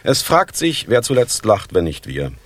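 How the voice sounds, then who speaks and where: An actor playing a smug, menacing older East German party official in a radio drama, speaking in a measured, declamatory and falsely courteous way. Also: male voice, on the low side, huge pitch swings, heavy background noise.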